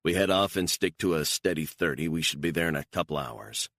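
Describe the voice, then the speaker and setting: A cool, controlled assassin who keeps every line short and firm, as a man plays him. His voice sits extremely deep and level. The sound is close and dry. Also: lively intonation, brisk, fairly bright tone, dry recording, heavily acted. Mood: steady. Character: calm, hard male assassin, controlled.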